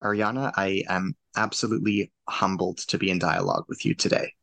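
A man hosting an interview podcast, formal and measured in tone. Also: low-pitched, steady tone, vocal fry, a bit bright, no room sound. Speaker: podcast host.

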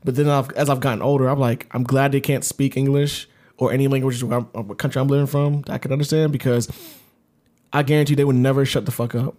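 Fast-talking American man, slangy and rambling. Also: medium pitch, flat delivery, a little mellow. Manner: slangy, profane, rambling storytelling. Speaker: podcast co-host. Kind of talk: casual comedic chat.

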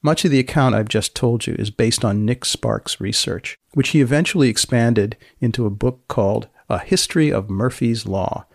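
A man hosting a podcast, reading scripted narration in a measured, deliberate, dryly deadpan way. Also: mid-range voice, gravelly, no echo, clean studio sound. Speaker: podcast host.

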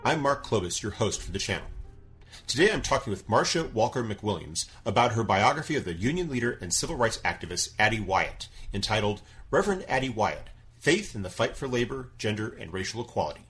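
Low-voiced male podcast host. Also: very animated, fairly bright tone, faint music behind. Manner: measured, polite questions with occasional hesitations. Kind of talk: interview hosting.

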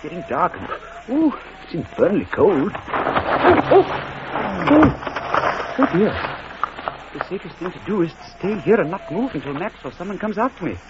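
Fussy, animated English schoolmaster, old radio. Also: male voice, high voice, moderate pace, pauses often. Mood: anxious, grumbling. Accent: British. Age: middle-aged. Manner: hesitant, stammering, formal. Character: shy, fussy, disappointed middle-aged English schoolmaster. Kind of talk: old radio drama acting. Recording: dull, faintly noisy vintage radio recording.

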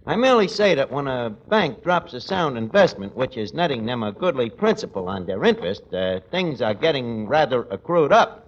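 An actor in an old radio comedy playing a scheming tavern manager with a New York accent, pompously mangling big words. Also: male voice, medium pitch, very animated, moderate pace, natural voice. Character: scheming, malapropism-spouting male manager of a shabby tavern. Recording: noisy, dull old radio recording.

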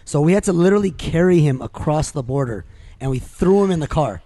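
A man's voice: a little high, animated, fast, mellow. A man co-hosting a podcast, joking around and adding details to a friend's story. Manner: jokey, adds details to the story. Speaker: podcast co-host. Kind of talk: casual chat.

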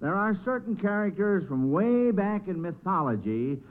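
Folksy male quiz host for vintage radio, encouraging, highish voice, phone-like sound. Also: big pitch swings, moderate pace. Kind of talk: old-time radio quiz hosting.

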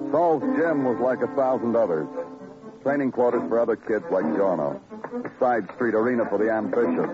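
An actor playing a world-weary, brooding police detective, narrating in a poetic, deadpan way in a noir radio drama. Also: male voice, medium pitch, close to an everyday voice. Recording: dull old radio recording, loud music.

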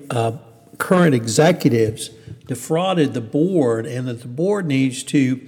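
Male news podcast host, medium pitch, conversational and halting commentary, long reverb. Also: darkish tone. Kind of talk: news commentary, semi-scripted. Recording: hall-like reverberant room.

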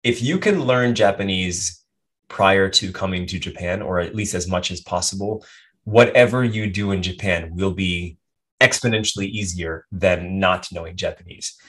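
A man's voice: low voice, normal speed, fairly bright tone. An American man, a health coach, answering interview questions in a thoughtful, rambling way with frequent restarts and asides. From United States. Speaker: guest, health and performance coach.

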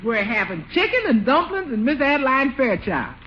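Chatty folksy lady cook, high lively voice, old radio comedy, noisy dull recording. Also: normal speed. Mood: welcoming. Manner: folksy, exclamatory, playful. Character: cheerful, chatty household cook, a loyal woman. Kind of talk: 1940s radio comedy acting.

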